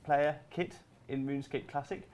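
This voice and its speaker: A man's voice: a little high, steady tone, normal speed, darkish tone, a little hiss or hum. A man chatting informally as a game artist on a panel, reminiscing and explaining. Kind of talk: casual reminiscing chat.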